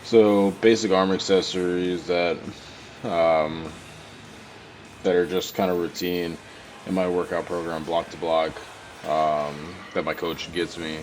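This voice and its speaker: Congested low-voiced man rambling casually. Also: flat delivery, slow, slight background noise. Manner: rambling, many fillers and restarts. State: congested, sore throat, clearing throat often. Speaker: powerlifter narrating his training. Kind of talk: casual voice-over narration.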